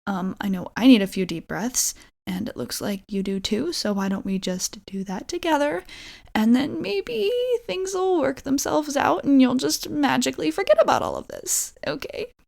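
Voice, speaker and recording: A woman playing an awkward, nervous young vampire roommate in an ASMR roleplay, halting and rambling apologetically. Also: high voice, animated, gravelly, darkish tone, full character voice. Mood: nervous, confessing.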